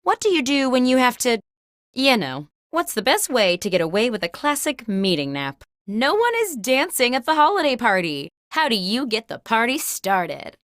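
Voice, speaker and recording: An actress playing a chirpy, wry corporate interviewer as a video game host, reading scripted prompts in a crisp, mock-professional manner. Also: female voice, on the high side, sing-song, normal speed, fairly bright tone, no echo, clean studio sound. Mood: upbeat, wry.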